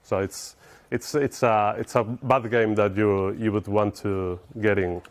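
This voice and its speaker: A man's voice: fairly low pitch, steady tone, measured pace. A middle-aged man with a Spanish accent, giving an informal technical talk to an audience in a conference room. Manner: informal, explanatory, addresses audience with questions. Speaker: conference speaker.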